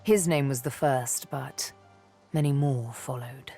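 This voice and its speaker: A woman's voice: rumbling low, warm tone, heavily acted. A woman playing a sly, bitter elf assassin and former slave in a video game, with a teasing, menacing way of telling things. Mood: dark, brooding. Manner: slow, teasing, menacing storytelling delivery. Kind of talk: video game character acting. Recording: music behind the voice.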